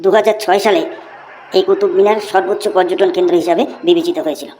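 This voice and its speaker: A woman's voice: on the low side, fairly even intonation, fast, dark tone, a little hiss or hum, close to an everyday voice. A woman voicing a cheeky schoolboy who recites a long essay by rote in a cartoon. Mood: recitation. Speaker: narrator and channel host. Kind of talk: cartoon voice acting.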